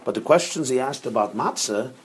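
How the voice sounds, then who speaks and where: A rabbi teaching a class on a religious text, earnest and emphatic, who repeats phrases for stress and sprinkles in Hebrew and Yiddish terms. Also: male voice, medium pitch, expressive, brisk, husky. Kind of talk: explaining a religious text to students.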